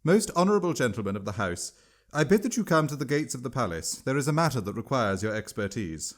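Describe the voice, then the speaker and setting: A man's voice: mid-range voice, sing-song, close to an everyday voice. Formal, stiff palace servant read by a man. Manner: formal, measured courtesy.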